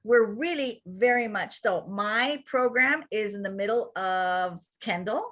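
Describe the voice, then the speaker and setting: A woman with a Cuban accent, a childcare center director giving an energetic webinar presentation to attendees over a video call. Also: fairly high pitch. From Cuba.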